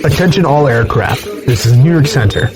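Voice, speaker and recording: A man's voice: medium pitch, brisk, music in the background, rough recording. A man playing a firm air traffic controller in an audio drama, speaking formally over a radio. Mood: firm, urgent. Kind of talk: formal radio procedure acting.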